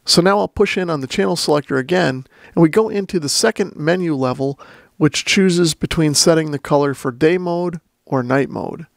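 A man's voice: a little high, moderate pace, vocal fry, no echo, clean studio sound. A man giving a plain-spoken, methodical step-by-step walkthrough as a product reviewer. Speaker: radio reviewer and demonstrator.

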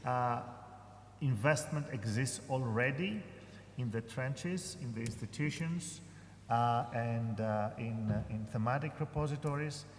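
A man's voice: medium pitch, unhurried. A man speaking as a professor on a conference panel, hesitant and full of fillers and restarts. His voice rings out with the long reverb of a large hall, with a faint hum of room noise underneath.

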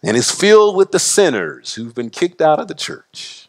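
A middle-aged man preaching a sermon from a church pulpit, impassioned and full of repetition, his pitch and loudness swinging widely. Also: sing-song, moderate pace, vocal fry. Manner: gestures broadly while preaching at a pulpit. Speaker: pastor. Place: church sanctuary.

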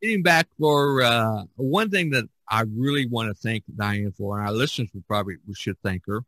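A middle-aged man chatting casually as a podcast host, rambling and halting as he searches for words. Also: medium pitch, a bit bright, no room sound. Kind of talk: casual chat.

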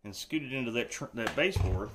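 A man's voice: mid-range voice, expressive, fast, a bit bright, slight background noise. A man hosting a casual how-to video, talking in a folksy, joking, reassuring way while he works on a floor indoors, recorded on the camera mic. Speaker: DIY channel host. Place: indoors, room under renovation.

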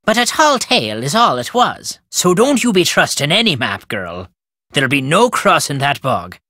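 Grumpy old irish farmer from a game, folksy and stubborn, super animated pitch, dry sound. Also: male voice, normal speed, dry recording, heavily acted. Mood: stern warning. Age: older. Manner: folksy inverted phrasing, storytelling lilt. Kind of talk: video game character dialogue.

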